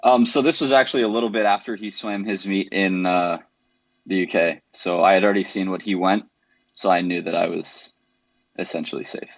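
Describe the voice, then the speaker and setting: Young American-accented man, low voice. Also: fairly even intonation, a little fast, dry recording, highs cut off. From Jamaica, raised in the United States. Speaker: Olympic swimmer guest. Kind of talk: interview answers.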